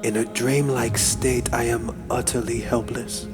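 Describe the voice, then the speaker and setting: A man narrates a horror tale in a dramatic, solemn style. His delivery is slow and deliberate, built for suspense, with a medium-pitched voice. Music plays clearly behind him. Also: level tone. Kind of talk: dramatic horror story reading. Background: background music.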